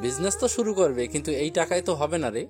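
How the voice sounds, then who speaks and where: Brisk, practical father played by a man. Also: a little high, animated, close to an everyday voice. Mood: calm, supportive. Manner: casual, fatherly. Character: agreeable middle-aged father, practical and supportive. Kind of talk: audio story acting. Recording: music clearly behind the voice.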